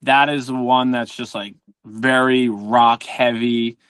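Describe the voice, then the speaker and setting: A man's voice: mid-range voice, flat delivery, slow, a bit bright, clean tone, dry recording. A man speaking as a podcast guest in casual, informal chat, earnest and reflective. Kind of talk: casual chat about favourite songs.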